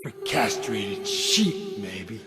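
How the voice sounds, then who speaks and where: A man's voice: on the low side, huge pitch swings, drawn-out words, full character voice. An actor playing a jaded, skeptical tough man in a video game, with a sardonic, dismissive, laconic manner. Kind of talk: dramatic video game acting. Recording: echoey hall, loud music behind.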